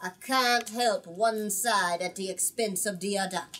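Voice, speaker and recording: A woman's voice: medium pitch, a little slow, a bit bright. Woman playing a defiant old witch. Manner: emphatic, scornful. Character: defiant, snappish ancient female witch.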